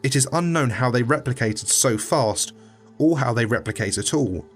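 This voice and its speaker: Male narrator for an incident report, mid-pitched, formal scripted read, detached, light music behind. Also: expressive, moderate pace.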